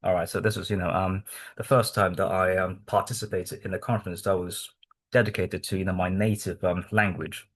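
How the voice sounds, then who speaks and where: Halting man from Hong Kong presenting. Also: sits low, flat delivery, fairly bright tone. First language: Cantonese. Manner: halting, with many ums and restarts. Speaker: researcher presenting to a research group. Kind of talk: academic presentation.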